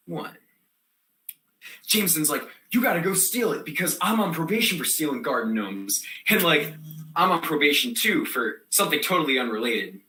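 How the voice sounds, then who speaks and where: Actor doing a scatterbrained mouthy student monologue, bright voice all over the place, echoey room. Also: mid-range voice. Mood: animated storytelling. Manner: rambling, slangy, with sudden yelled outbursts. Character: scatterbrained, excitable, defiant student in theater class telling wild stories. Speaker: performer of a comic theater monologue. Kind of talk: theatrical comic monologue, slangy storytelling.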